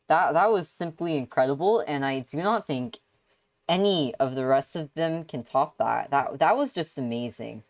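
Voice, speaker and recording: A woman livestreaming visual novel readings, chatting casually in an excitable, exclamatory way. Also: sits low, no echo. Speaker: streamer.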